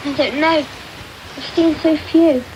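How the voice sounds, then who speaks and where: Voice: high-pitched, steady tone, a little slow, darkish tone. A performer playing a polite, frail and innocent young orphan boy in a radio drama, meek and earnest. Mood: wistful. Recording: dull, faintly hissy radio tape.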